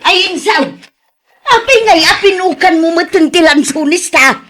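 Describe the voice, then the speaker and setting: A woman's voice: very high-pitched, moderate pace, a bit bright. A woman with an Ilocano accent playing an exasperated, pleading village wife in a radio drama. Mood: distraught.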